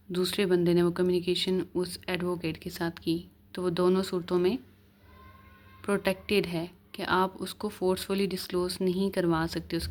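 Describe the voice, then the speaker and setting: A woman with a Pakistani accent teaches law in a slightly low, very even voice. She reads out formal legal text, then explains it step by step, moving between English and Urdu and often adding small confirming tags.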